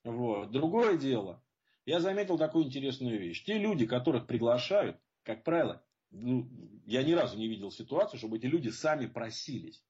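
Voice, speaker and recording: A man with a husky voice giving an informal, opinionated spoken answer as a guest speaker, explaining point by point. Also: mid-range voice, sing-song, brisk, a little mellow. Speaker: guest speaker at support group seminars. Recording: dull lo-fi audio.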